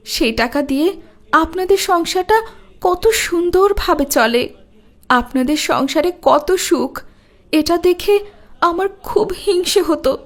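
A dramatic cartoon housewife with a very high voice. She is a well-off, envious mother who speaks in a plaintive, emotional, melodramatic way. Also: full character voice.

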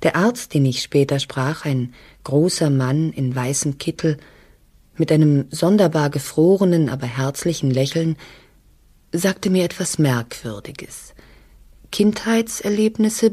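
An actress playing a troubled, tender wife who confides softly and wistfully in a letter, with a husky voice, in a radio drama. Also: female voice, sits low.

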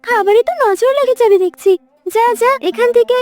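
A shrill, very high cartoon aunt played by a woman, mean and stingy. She scolds with open scorn, over faint music. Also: fairly quick, no echo. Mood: scornful. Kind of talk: cartoon fairy tale voice acting.

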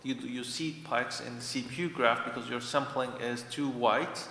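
A man's voice: mid-range voice, fairly even intonation, normal speed, sharp tone. A man in the audience putting a technical point analytically, in spontaneous speech. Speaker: audience member asking a question. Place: large tiered lecture hall. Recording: echoey hall, faint noise, audience microphone.

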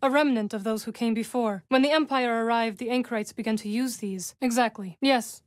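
Woman acting a young witch warrior, video game dialogue, fairly high voice, measured formal phrasing, dry wit, close dry sound. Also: dry recording, close to an everyday voice. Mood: composed, dry. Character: composed, dry-witted young witch warrior from a galactic fantasy.

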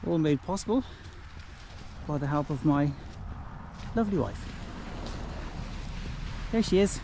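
A man's voice: on the high side, lively intonation, a little slow, warm tone, a little hiss or hum. A man in his fifties narrates his fencing work in a cheerful, joking way, filmed outdoors in a rural garden on a handheld camera. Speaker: vlogger. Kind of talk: casual home-renovation vlog. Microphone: action camera held close.

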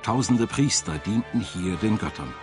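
Man, scripted documentary narration, lowish pitch, dramatic storyteller delivery, prominent background music. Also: lively intonation, normal speed.

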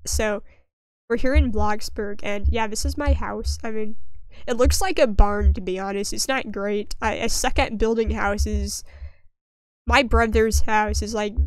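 A woman's voice: mid-range voice, no echo, poor audio. A young woman getting over a sniffly cold, giving rambling, self-deprecating gameplay commentary with dry humour in a casual way. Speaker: gaming video creator.

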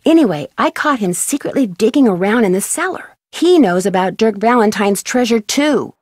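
A woman voicing an earnest, inquisitive teenage detective in a video game, explaining things clearly with an American accent. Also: medium pitch, animated, moderate pace, dry recording, clean studio sound, lightly acted. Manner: clear-headed, explaining clues.

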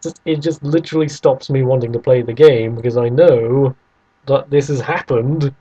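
A man's voice: medium pitch, normal speed, warm tone, slightly rough, dull audio. A man chatting casually as a podcast co-host, bantering and arguing his point. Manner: bantering, argumentative. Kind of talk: casual debating chat.

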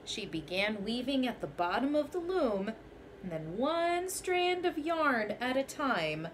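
A woman's voice: on the high side, very animated, measured pace, a little hiss or hum, rough recording. A young woman teacher reading a children's picture book aloud in a small bedroom, telling it expressively in the first person.

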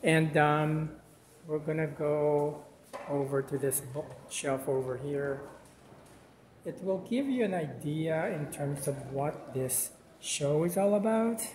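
A man's voice: a little high, takes it slowly, a little mellow, a little hiss or hum. A man from the Philippines whose first language is Tagalog, hosting a casual walking vlog about contemporary art, rambling with many fillers as he tours a campus and its gallery. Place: outdoor campus plaza, then indoor gallery.

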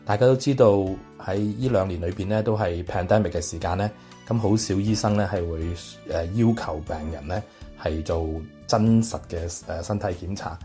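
A man's voice: sits low, moderate pace. A middle-aged man with a Hong Kong Cantonese accent, telling a personal testimony and dropping in English words. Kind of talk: personal testimony, measured storytelling. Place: small padded recording studio. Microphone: studio microphone on boom arm. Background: soft guitar music.

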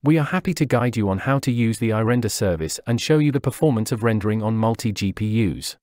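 A man narrating a scripted software tutorial, walking through each step in a steady, instructional way. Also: fairly low pitch, fast talker, no echo, studio quality. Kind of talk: scripted software walkthrough voice-over. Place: voice-over over screen recording.

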